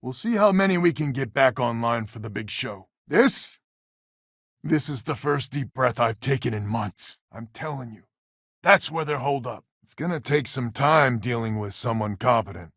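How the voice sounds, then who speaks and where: A man's voice: medium pitch, animated, normal speed, a bit bright, dry recording, studio quality. A man voicing a weary, stubborn space settler leader in a video game, plain-spoken and sardonic with a folksy manner. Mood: earnest, resolute, then businesslike. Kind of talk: video game character dialogue.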